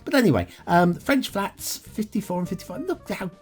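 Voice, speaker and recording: A man's voice: sits high, expressive, normal speed, faint music behind. Chatty man reviewing game maps. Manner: chatty, self-mocking, chuckles often, trails off with ums. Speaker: game map reviewer, show host. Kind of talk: casual gameplay commentary.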